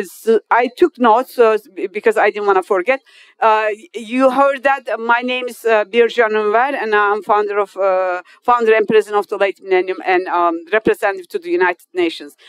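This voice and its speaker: A Turkish woman in her fifties with a Turkish accent, a non-native English speaker giving a formal speech to an audience. She speaks haltingly, with many hesitations, restarts and loose grammar. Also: medium pitch, clear, resonant tone, dry recording. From Turkey. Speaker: guest speaker at an inauguration.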